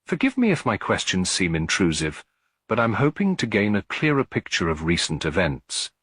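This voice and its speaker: A kind lady detective probes gently and politely in a mystery story, as a male narrator plays her. The voice sits low with a slight husk and an expressive lilt, in a dry recording. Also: normal speed, husky, no room sound, exaggerated voice. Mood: gentle, probing. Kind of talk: detective story character reading.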